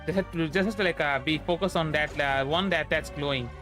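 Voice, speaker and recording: Male game streamer, young, Indian accent: high voice, casual and halting, faint music behind. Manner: halting, repeats words and trails off. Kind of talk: casual livestream chat while playing.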